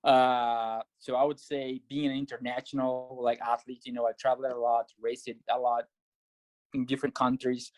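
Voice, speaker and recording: A man from Brazil, speaking as a guest on an interview show. He is a triathlete and coach who talks in a measured, thoughtful way with frequent fillers. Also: mid-range voice, flat delivery, a little mellow, dry recording, rough recording. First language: Portuguese. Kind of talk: casual interview answers.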